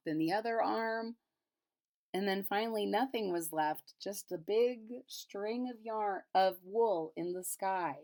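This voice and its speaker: A woman with a mid-pitched voice reads a picture book to young listeners. She is expressive and explanatory, moving between the written story and her own simple retelling. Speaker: storybook reader. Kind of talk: read-aloud of a children's picture book with casual retelling.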